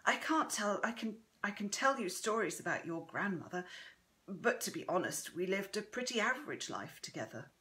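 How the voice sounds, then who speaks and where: A woman voicing an elderly Irish grandmother in an audiobook, guarded, gentle and reflective, with an Irish accent. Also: medium pitch, sing-song, normal speed. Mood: evasive.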